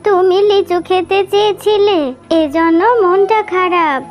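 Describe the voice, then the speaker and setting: A woman voicing a kindly, weary old beggar fox granny in a children's cartoon, speaking in a gentle, coaxing, plaintive way. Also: very high-pitched, fairly even intonation, measured pace. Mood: plaintive, tender. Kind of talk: Bengali children's cartoon voice acting. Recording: faint music behind the voice.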